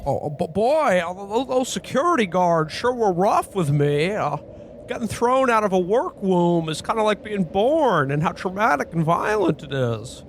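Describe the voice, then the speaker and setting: A man's voice: high voice, big pitch swings, normal speed, slight background noise. A man playing a frantic, pleading character who stammers and repeats himself in a radio play parody. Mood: wounded desperation. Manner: stammering, breathless repetition, pleading. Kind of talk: old-time radio play melodrama parody.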